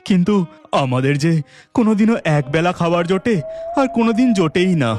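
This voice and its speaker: A man's voice: sits high, lively intonation, fairly quick, darkish tone, soft background music. A man voicing a poor, devout village boatman in a cartoon story, earnest and exclamatory, with a Bengali accent. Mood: humble, reverent. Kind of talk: melodramatic cartoon story acting.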